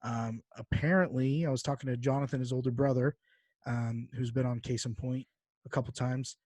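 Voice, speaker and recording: A man hosts a casual podcast in a medium-pitched voice. His talk is informal, slangy banter, like chatting with a friend. The sound is dry, with no room echo. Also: fairly even intonation, moderate pace, a little mellow. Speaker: podcast host. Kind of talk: casual chat.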